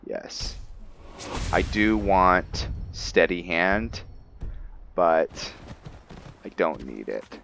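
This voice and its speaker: Male gameplay commentator, low pitch, slow delivery, musing and hesitant, unscripted. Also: very animated, gravelly, a bit bright, slight background noise. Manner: hesitant, musing, thinking aloud. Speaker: gaming video host, role-playing a character.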